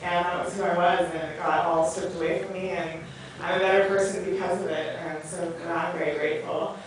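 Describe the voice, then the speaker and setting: A woman's voice: on the low side, level tone, a little fast, recorded from a distance, a crowd in the background. A woman, a film actress, talking candidly and earnestly in a rambling way at a movie theatre Q&A. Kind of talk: candid personal panel talk. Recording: echoey theatre, off-mic, noisy.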